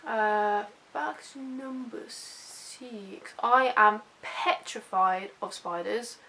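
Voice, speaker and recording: Bright-voiced young British woman chatting. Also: medium pitch, drawn-out words, a little hiss or hum. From United Kingdom. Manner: informal, rambling, self-deprecating. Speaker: YouTube vlogger. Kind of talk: casual personal chat to camera.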